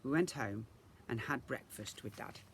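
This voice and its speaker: A man reading a children's story aloud as a teacher would, in an expressive narrating style. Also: mid-range voice, moderate pace, vocal fry, a little hiss or hum.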